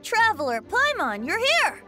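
A woman performs a boyish bard in a video game, teasing and airy. The voice sits high and swings playfully across its range. Faint music runs beneath it. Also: huge pitch swings, unhurried, heavily acted. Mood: delighted. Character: youthful, impish male bard, breezy and teasing. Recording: music over the dialogue.

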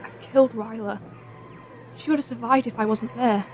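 A woman's voice: a little high, fairly even intonation, moderate pace, darkish tone, faint music behind, lightly acted. A woman acting a young, earnest, plain-spoken female companion in an audio drama. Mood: guilty.

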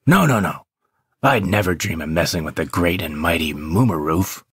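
A man's voice: sits low, moderate pace, a bit bright, breathy edge, no room sound, clean studio sound, exaggerated voice. A man playing a cocky, sly space adventurer with a swaggering, teasing manner in a comedy sci-fi audio drama.

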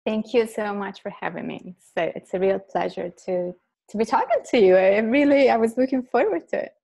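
A woman's voice: mid-range voice, fairly quick, vocal fry. A woman in her forties from Italy, with an Italian accent, speaking earnestly as a guest on a video call from her living room through earbuds. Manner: earnest, animated hand gestures. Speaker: guest neuroscientist. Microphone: earbud headset on video call.

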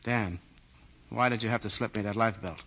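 Forceful, tough 1940s radio cop. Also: male voice, on the low side, normal speed. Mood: puzzled. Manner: clipped, slangy, commanding. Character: tough, gruff but fair police detective. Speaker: radio drama actor. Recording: muffled 1940 radio broadcast recording.

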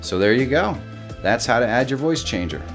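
A middle-aged man hosting a casual step-by-step software tutorial. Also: medium pitch, very animated, brisk, soft background music. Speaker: tutorial host. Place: small home room, indoors.

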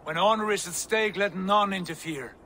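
An actor playing a confident, commanding Viking jarl in a game drama, with a lordly, declamatory way of talking. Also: male voice, high-pitched, normal speed, sharp tone, slight background noise. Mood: resolute. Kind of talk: cinematic video game drama.

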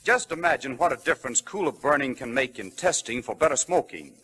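A male announcer on an old 1930s radio broadcast, delivering a polished advertising pitch. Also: expressive, fairly quick, pushed and bright, breathy edge.

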